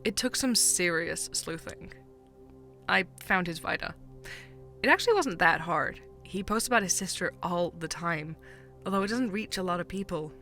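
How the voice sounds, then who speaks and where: A woman's voice: lowish voice, animated, normal speed, a bit bright, faint music behind. An actress playing a keen, teasing young woman who works as an amateur sleuth in an audio drama. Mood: proud, eager.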